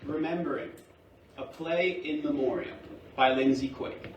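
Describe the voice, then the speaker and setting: A man with a slightly high voice reads stage directions in a measured, deliberate way. He sounds set back from the mic in an echoey room with faint noise. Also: steady tone, distant mic, dull audio. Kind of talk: scripted narration at a staged play reading.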